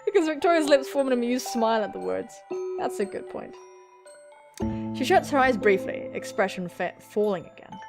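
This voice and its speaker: Casting: young female gaming host, giggly and excitable, bright-pitched and highly animated, music underneath. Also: moderate pace, vocal fry. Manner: excitable, squealing, giggly, swearing. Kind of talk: playthrough reading visual novel aloud with excited reactions.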